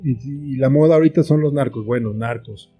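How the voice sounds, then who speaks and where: A man's voice: mid-range voice, a little fast, husky. A middle-aged man with a Mexican accent speaking reflectively as a guest in a conversational interview. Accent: Mexican Spanish. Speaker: interview guest, writer and filmmaker. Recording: faint guitar music behind. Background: soft plucked guitar music.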